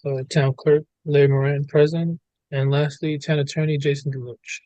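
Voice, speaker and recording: A man's voice: a little high, flat delivery, warm tone, no room sound, rough recording. Dry-voiced male clerk calling roll. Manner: brisk, formal reading of names. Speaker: town clerk. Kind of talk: roll call.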